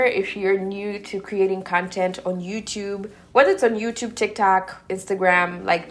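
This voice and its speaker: A young woman from Kenya with a Kenyan accent, chatting casually through a makeup Q&A as she applies her foundation. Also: medium pitch. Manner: chatty and relaxed, talking while doing her makeup. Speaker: beauty YouTuber. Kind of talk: get-ready-with-me Q&A chat.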